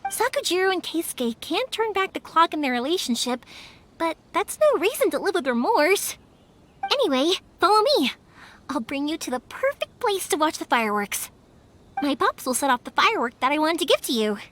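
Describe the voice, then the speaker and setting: A woman playing a cheerful, warm-hearted young fireworks maker who talks in a bubbly, earnest way. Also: very high voice, very animated, normal speed, a little hiss or hum, heavily acted. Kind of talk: video game voice acting.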